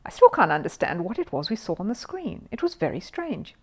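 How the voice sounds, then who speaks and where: A woman's voice: mid-range voice, sing-song, fairly quick, breathy edge. Soothing, practical storybook mother, read by a woman. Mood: wondering. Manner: soothing, gently managing. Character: mild, practical, soothing middle-aged mother.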